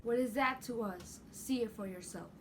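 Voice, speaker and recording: A woman's voice: a little high, moderate pace, a bit bright. A woman reading the part of a dismissive chief priest in an amateur church dramatic reading.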